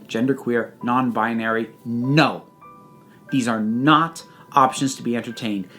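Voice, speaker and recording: A speaker delivering a scripted personal reflection like a speech, with deliberate, emphatic phrasing and pauses. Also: mid-range voice. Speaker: video essayist reading own personal reflection.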